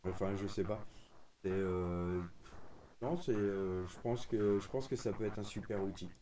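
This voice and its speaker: A man's voice: low-pitched, measured pace, mellow. A man chatting casually and enthusiastically as a radio hobbyist, in an informal way. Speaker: radio amateur streaming a group conversation.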